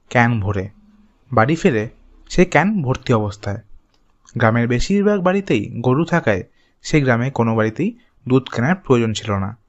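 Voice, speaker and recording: A man's voice: medium pitch, lo-fi sound. A man narrating a scripted village moral tale as a storyteller.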